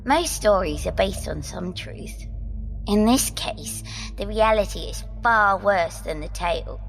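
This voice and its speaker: A grave, knowing captive woman speaks with a gravelly creak. Her delivery is slow, measured and formal, an audio drama performance set over clear music. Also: mid-range voice, expressive, rough recording. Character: composed, grave woman captive with otherworldly knowledge. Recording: music under the voice.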